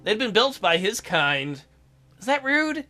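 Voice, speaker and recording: A man with a high, bright voice hosts a let's play. He reads story text aloud and cuts in with irreverent, mocking commentary. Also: very animated, unhurried, faint music behind. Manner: irreverent, crude joking, mocking. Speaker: gaming video host, let's player. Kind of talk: reading visual novel aloud with joking commentary.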